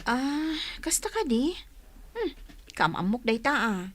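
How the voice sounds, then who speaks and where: A woman's voice: sits high, sing-song, slow pace, exaggerated voice. A woman playing a chatty, amused older-sister village gossip in a radio drama, with an Ilocano accent. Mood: surprised, chatty.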